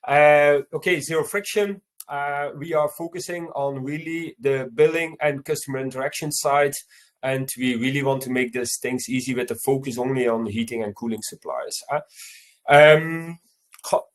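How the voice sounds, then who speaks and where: A man from Belgium with a Belgian accent, English not his first language, giving an online conference presentation over a video call, halting, with many fillers and restarts. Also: medium pitch, fairly even intonation.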